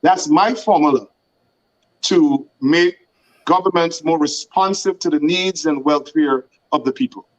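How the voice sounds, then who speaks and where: A man appearing as a talk show guest, arguing a political point in a measured, deliberate, speech-like way. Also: a little high, poor audio.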